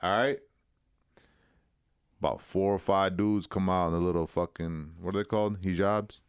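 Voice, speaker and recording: A podcast co-host spins a slangy story in a very low voice, playing every part himself. His tone is smooth and resonant. The recording is dry and close. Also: moderate pace, dry recording. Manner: animated storyteller, slangy, acts out quotes. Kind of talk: casual storytelling chat.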